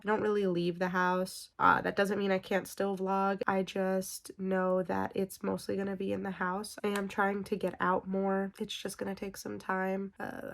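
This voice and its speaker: A young woman giving a casual vlog update to her viewers. She is a little raspy and congested from being sick and talks in a flat, low-key way. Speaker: vlogger.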